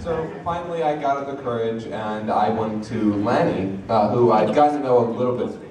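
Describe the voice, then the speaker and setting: A man speaking as a convention panelist, telling a story casually and haltingly to a live audience. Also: medium pitch. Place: large hotel convention panel room. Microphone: far audience camera. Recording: echoey hall, distant noisy handheld camera audio.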